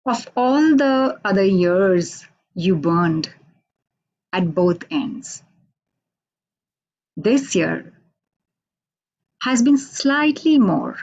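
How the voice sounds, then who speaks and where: A woman from India with an Indian accent, a Hindi native speaker, giving a halting, unhurried poetry reading over a video call from a radio station office. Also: medium pitch, animated, a little mellow, lo-fi sound. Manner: unhurried, halting, with many fillers. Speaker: poet.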